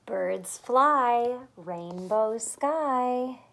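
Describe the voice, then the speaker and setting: A young adult woman, a teacher, reading a picture book aloud to small children with expressive delivery and simple chatty questions. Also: fairly high pitch, drawn-out words, gravelly. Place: small furnished room at home, indoors.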